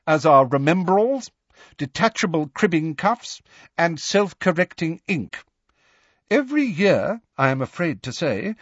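A man's voice: a little high, no echo, lo-fi sound, pitched above the performer's own voice. A man voicing a stern, prim older female schoolteacher with a formal, clipped, admonishing manner in an audiobook.

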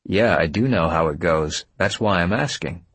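Man playing a calm, stubborn man, low-pitched. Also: moderate pace, slightly rough, no room sound, full character voice. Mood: firm, concerned. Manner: direct, steady, firm. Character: calm, stubborn, patient man pressing for answers.

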